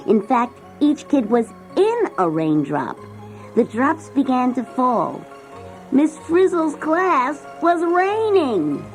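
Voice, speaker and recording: A woman narrating a scripted children's story in an expressive storytelling manner. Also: high-pitched, slow pace. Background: music.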